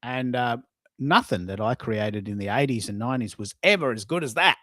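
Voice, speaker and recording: A man's voice: medium pitch, brisk, bright, forceful tone, no echo, studio quality. A middle-aged man hosting a live audio show, chatting casually and rambling, repeating words for emphasis. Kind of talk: casual live-stream chat.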